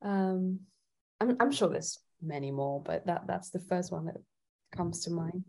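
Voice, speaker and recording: Slow, mellow-voiced woman thinking aloud. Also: lowish voice. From Italy. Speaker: guest singer-songwriter. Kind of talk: casual interview chat.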